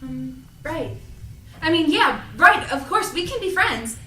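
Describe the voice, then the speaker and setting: A young woman playing an earnest, self-doubting young shop worker in an audio drama read-through, speaking in an informal, expressive way. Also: high-pitched, measured pace, soft background music, exaggerated voice. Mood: awkward, friendly. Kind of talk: audio drama cast reading. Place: echoey room at a cast read-through. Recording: roomy, echoing, distant mic, background noise.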